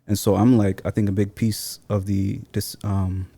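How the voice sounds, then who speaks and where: Casting: thirties male interview guest, very low and soft-toned, reflective, informal phrasing. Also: level tone, moderate pace, vocal fry. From Seattle, born in Somalia. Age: 30s. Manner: thoughtful, informal slangy phrasing. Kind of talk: reflective casual interview talk.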